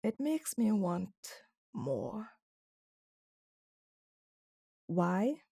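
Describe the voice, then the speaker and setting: Slow, mellow, commanding female CEO acted by a woman. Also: medium pitch, sing-song, breathy edge, heavily acted. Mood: flirtatious, dominant. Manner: dominant, stern, deliberate. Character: cold, commanding female CEO, flirtatious and condescending. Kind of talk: ASMR roleplay acting.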